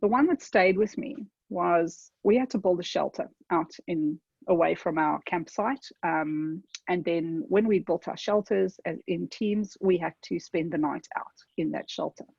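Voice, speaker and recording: Gravelly South African woman presenting. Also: lowish voice, darkish tone, no room sound, dull audio. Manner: conversational, addresses audience directly with frequent "okay?". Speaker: webinar presenter. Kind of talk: webinar presentation.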